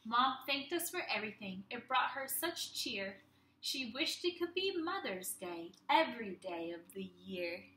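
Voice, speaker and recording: A young woman teacher reading a storybook aloud to young children in an animated, expressive way, speaking to them directly. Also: fairly high pitch, measured pace. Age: young adult. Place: small room at home. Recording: roomy, echoey home webcam sound.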